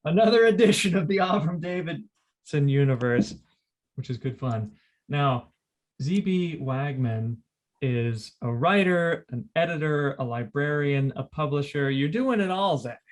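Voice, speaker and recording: A man with a slightly high voice hosts a relaxed podcast. He chats casually and with enthusiasm, often saying a phrase twice as he goes. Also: measured pace. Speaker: podcast host. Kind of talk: casual chatty podcast conversation.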